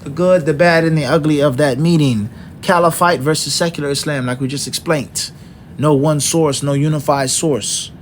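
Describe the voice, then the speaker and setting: A man's voice: fairly high pitch, fairly even intonation, normal speed, slight background noise. A middle-aged man teaching an Islamic lesson, working through points one by one and asking rhetorical questions. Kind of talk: lecture.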